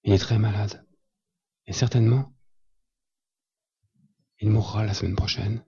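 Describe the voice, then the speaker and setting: A man reading a literary tale aloud at a tribute event, with a measured storytelling delivery, in a dull lo-fi recording. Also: fairly low pitch, fairly even intonation, creaky, mellow, slightly rough. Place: small cinema salon.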